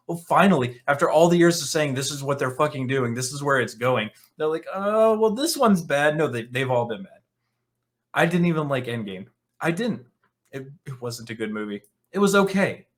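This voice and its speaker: A man's voice: mid-range voice, a bit bright. A man hosting an online commentary show, reading articles aloud and reacting in a blunt, scornful, sarcastic and profane way.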